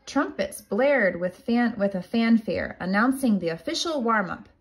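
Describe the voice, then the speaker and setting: A woman reads a children's picture book like a kindergarten teacher. Her pace is slow and deliberate. Her pitch moves in lively, expressive swings. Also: husky, poor audio. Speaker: kindergarten teacher reading aloud.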